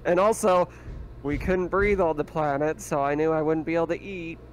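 Guy with a highish voice chatting casually during a tabletop rpg. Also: steady tone, normal speed, crowd noise, low-quality recording. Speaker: tabletop roleplaying player. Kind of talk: casual game chat.

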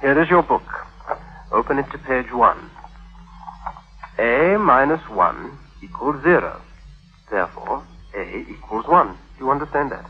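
An actor playing an eager young algebra tutor, brisk, didactic and precise, in 1950s radio drama style. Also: male voice, mid-range voice, lively intonation, slightly rough. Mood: instructive. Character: eager young male algebra tutor, aged twenty-one. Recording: muffled 1950s radio broadcast.

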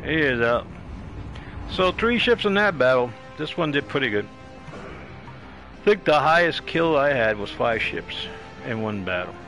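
Guy streaming a game, gravelly bright voice, kinda rambling, repeats himself, music behind him. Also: medium pitch, sing-song, a little slow, pauses often, rough recording. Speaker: gaming streamer. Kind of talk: live game commentary, casual chat.

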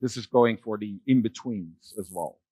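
A middle-aged man giving a conference keynote on a large dark stage, talking loosely with fillers and restarts. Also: fairly low pitch, normal speed, slightly rough, dry recording. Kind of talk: keynote talk with slides.

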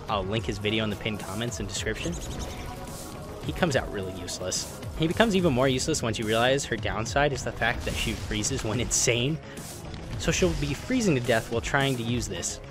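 A man narrating a game explainer voice-over in an informal, opinionated, emphatic way, with casual asides. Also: mid-range voice. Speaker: gaming video narrator. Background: background music.

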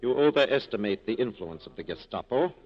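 An actor playing a wryly corrupt police captain with an urbane, teasing, courtly manner, in 1940s radio style. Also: male voice, mid-range voice, expressive, fairly quick, natural voice. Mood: wry, self-assured. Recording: muffled 1940s radio broadcast recording.

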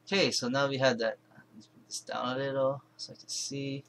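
A man presenting an informal software tutorial, thinking aloud in a halting, rambling way and correcting himself as he goes. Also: mid-range voice, level tone. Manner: halting, rambling, self-correcting, mumbled asides. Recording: clear background noise, keyboard and mouse clicks.

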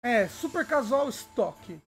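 A man's voice: very high voice, big pitch swings, fairly bright tone, breathy edge. A man hosting a casual gaming livestream, bantering playfully with his co-host and chat. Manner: playful, bantering, quick to laugh. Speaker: streamer host.

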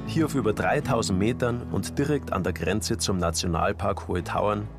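A man narrating a documentary voice-over in a calm, matter-of-fact way. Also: fairly low pitch, moderate pace. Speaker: documentary presenter. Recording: voice-over with music bed.

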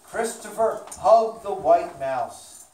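A woman narrates a children's puppet show at a slow, measured pace. She is heard from a distance in an echoey room with faint noise. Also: on the low side, expressive, mellow.

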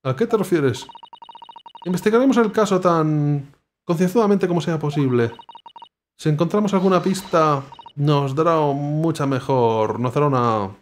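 A man's voice: a little high, moderate pace, a little hiss or hum, close to an everyday voice. A man reading the lines of a young male stand-in lawyer, hesitant and polite.

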